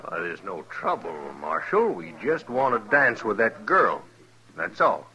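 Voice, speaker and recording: A man's voice: lowish voice, sing-song, a little slow, bright, forceful tone, heavily acted, low-quality recording. An actor playing a sly frontier gunman with an air of injured innocence in an old-time radio western. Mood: falsely innocent.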